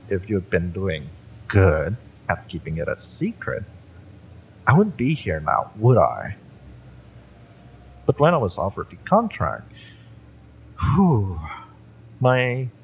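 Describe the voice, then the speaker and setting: A man playing a fiery, spurned mercenary ex-bodyguard in an audio roleplay, mocking and drawling with menacing intimacy and dramatic pauses. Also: low-pitched, slight background noise, full character voice, low-quality recording. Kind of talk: scripted audio roleplay addressed to the listener.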